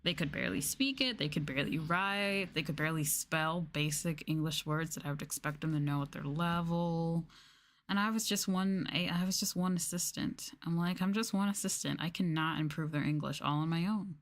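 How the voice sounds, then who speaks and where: A young woman with a low voice talks softly in a casual ASMR stream. She chats candidly, with the odd swear word slipped in. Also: moderate pace. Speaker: VTuber streamer. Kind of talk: soft-spoken ASMR casual chat.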